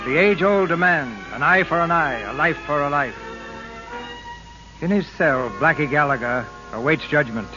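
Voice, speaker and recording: A man gives grave, portentous narration in old radio style. His voice is a little higher than average. The sound is muffled, with music playing clearly underneath. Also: normal speed. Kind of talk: 1940s melodramatic scripted narration. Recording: dull old radio recording. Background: dramatic music.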